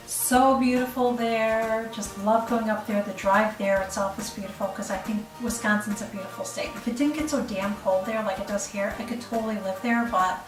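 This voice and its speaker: A woman's voice: medium pitch, far from the mic. A middle-aged woman from Chicago with a Midwestern accent, chatting casually and rambling to camera at home. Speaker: vlogger. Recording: roomy room, off the mic, faint music.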